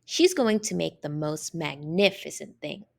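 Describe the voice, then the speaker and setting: A woman reading a children's picture book aloud in an expressive, deliberate manner with drawn-out emphasis on key words. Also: low-pitched, vocal fry. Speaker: storybook reader.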